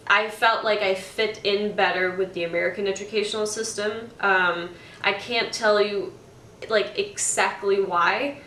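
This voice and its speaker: A woman in her twenties from Denmark, a former student speaking thoughtfully about her studies, with frequent pauses and restarts. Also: mid-range voice, sharp tone, reverberant, distant mic. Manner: thoughtful, hesitant with many ums and restarts. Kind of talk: casual talk.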